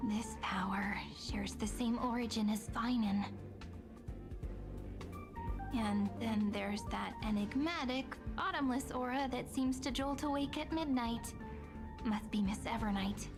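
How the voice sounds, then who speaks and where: A woman voicing a young, plucky, curious game heroine who muses aloud in an expressive manner. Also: on the high side, measured pace, music in the background, natural voice. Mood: wary, determined. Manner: expressive, musing aloud to herself.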